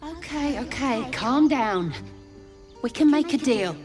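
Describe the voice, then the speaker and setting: A woman's voice: sits high, lively intonation, takes it slowly. A woman voicing a territorial, firmly haggling game character, placating yet bossy. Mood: coaxing, bossy. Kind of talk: video game voice acting. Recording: prominent music behind the voice.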